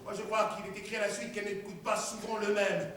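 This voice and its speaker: A man acting a servant who tells his tale in a lively, chuckling way, heard from far off in an echoey hall. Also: high voice, fast, slight background noise. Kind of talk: stage play acting.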